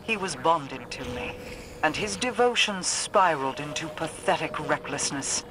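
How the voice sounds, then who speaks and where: A woman's voice: sits low, expressive, a little slow. Haughty, disdainful ancient queen. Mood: cold disdain. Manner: formal, lecturing, disdainful. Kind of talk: dramatic game dialogue. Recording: clear background ambience and noise.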